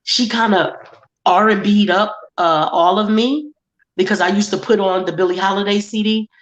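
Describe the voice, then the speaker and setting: A woman hosting a live chat show, a little hoarse, telling stories in a brassy, profane way. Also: medium pitch, steady tone, normal speed, roomy sound, highs cut off. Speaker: host.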